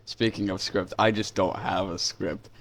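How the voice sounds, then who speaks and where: A man with a fairly low voice gives a casual video review. He talks informally and with enthusiasm, rambling along without a script. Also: steady tone, unhurried, vocal fry, fairly bright tone. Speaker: YouTube reviewer, host. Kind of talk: casual unscripted review of indie animation.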